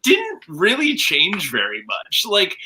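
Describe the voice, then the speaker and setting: A man's voice: sits high, wildly swooping pitch, slow, pushed and bright, slight background noise. A man giving casual, wry commentary as a livestream panelist on a call. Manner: informal, wry, uses mock quotes. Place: video call.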